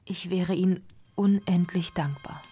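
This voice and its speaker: A woman's voice: fairly low pitch, steady tone, unhurried, dark tone, husky, soft background music. A woman acting an anguished, formally polite Victorian lady of the house in a radio crime drama, speaking earnestly.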